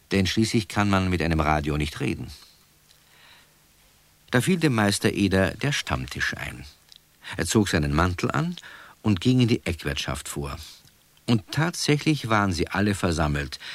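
A man's voice: low voice, no room sound. A man narrating a children's story, conversational and addressing listeners directly.

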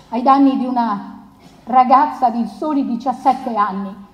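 An actress playing an earnest, indignant female lawyer in a stage courtroom drama, giving a grave, deliberate closing plea with emphatic repetitions. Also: high voice. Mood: earnest, solemn. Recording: echoey hall, dull muffled sound.

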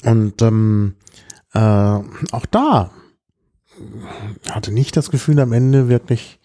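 Ein Mann, der in einem Podcast locker und mit vielen Füllwörtern von einer Reise erzählt. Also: male voice, medium pitch, slow, warm tone. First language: German. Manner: chatty storyteller, many fillers. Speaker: podcast host. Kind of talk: casual chat.

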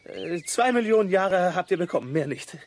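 High-voiced exasperated cynic. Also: male voice, very animated, moderate pace. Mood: exasperated, scornful. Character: exasperated, cynical male space traveler, fatalistic and blunt. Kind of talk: radio play acting.

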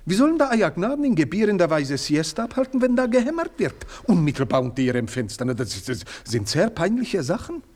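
A man's voice: sits high, sing-song, a little fast, few pauses, darkish tone. An actor playing a meddling, servile male house servant who speaks in long-winded, fawning phrases. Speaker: servant Theodor. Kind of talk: radio play acting.

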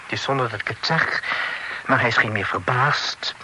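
An actor playing a talkative, worldly gentleman envoy in a period radio drama, speaking formally and floridly with French phrases sprinkled in. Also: mid-range voice, moderate pace. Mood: grave. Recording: noisy old radio play recording.

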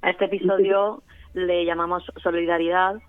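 A woman's voice: on the low side, little pitch movement, moderate pace, no echo. A woman, a radio documentary producer, talking in an interview, chatty and laughing easily. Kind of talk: interview talk. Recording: phone-like line.

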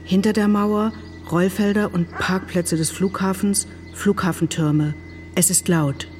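A woman's voice: mid-range voice, steady tone, measured pace, darkish tone, faint music behind. A woman narrating an audio play, reading scene directions in clipped, descriptive phrases.

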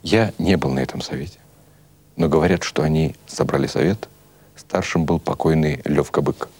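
A man giving an expressive, measured audiobook narration of a literary story. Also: rumbling low, background noise. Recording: music behind the voice.

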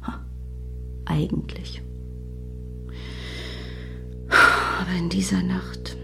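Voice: medium pitch, little pitch movement, very slow, creaky, pushed and bright, in a whisper, soft background music, exaggerated voice. An actor in a radio play voicing a wry, musing club-goer with reflective, hesitant asides.

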